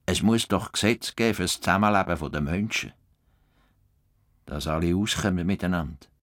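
A man's voice: low voice, normal speed, a bit bright. A man acting a stubborn, idealistic, cantankerous farmer with a Swiss German accent in a radio play. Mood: musing, low. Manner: blunt, swearing, grumbling.

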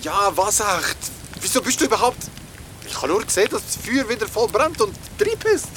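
An actor playing a sceptical but caring young male friend, speaking colloquially and excitably in an audio drama. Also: high voice, fairly quick, slight background noise. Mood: alarmed.

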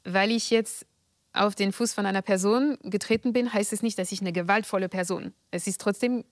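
A woman from France speaking German with a French accent, giving measured, hesitant interview answers. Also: mid-range voice, fairly even intonation, no echo, studio quality. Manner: measured and deliberate, with frequent hesitations. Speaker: guest.

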